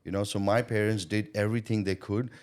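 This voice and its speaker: A man's voice: on the low side, fairly even intonation, fairly quick, darkish tone. A middle-aged man from Thailand, a podcast guest, telling his story in a reflective, chatty way, often checking in with "you know" and "right?". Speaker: podcast guest, sales consultant. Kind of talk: casual interview storytelling.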